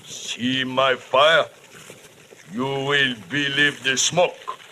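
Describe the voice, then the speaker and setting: An imperious voodoo priest intones threats in broken, English. He drags out every word with forceful, cutting sharpness, like a curse being laid. It is an old radio play with faint noise behind it. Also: mid-range voice, fairly even intonation, full character voice, rough recording. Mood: menacing threat. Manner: slow, ominous, incantatory. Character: menacing male voodoo priest, broken speech. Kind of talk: old-time radio drama acting.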